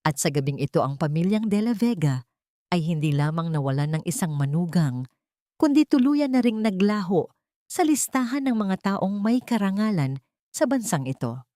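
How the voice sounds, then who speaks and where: A woman's voice: fairly low pitch, very animated, normal speed, warm tone, no echo, clean studio sound. A woman with a Filipino accent voicing a dignified, commanding old father in an audio story. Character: dignified, powerful old father defending his daughter.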